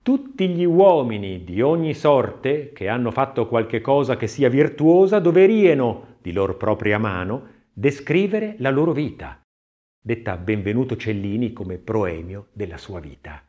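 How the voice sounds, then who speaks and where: A man reading a scripted literary text as an audiobook narrator, expressive and measured, with deliberate phrasing. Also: mid-range voice, muffled recording. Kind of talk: scripted audiobook narration of an art-history essay, with recited verse.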